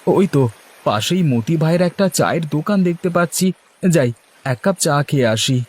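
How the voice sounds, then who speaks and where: A man's voice: fairly high pitch, expressive, brisk, a little mellow, breathy edge. A man voicing a harried, good-natured village husband in a cartoon, chatty and pleading. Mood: fretful musing. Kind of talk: Bengali cartoon dialogue.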